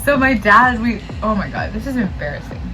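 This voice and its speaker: A woman's voice: a little high, lively intonation, fairly quick, poor audio. Young American woman, casual storyteller, playful, slangy, music in the background. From El Paso, Texas, United States. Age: young adult. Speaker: makeup vlogger. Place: small indoor makeup studio.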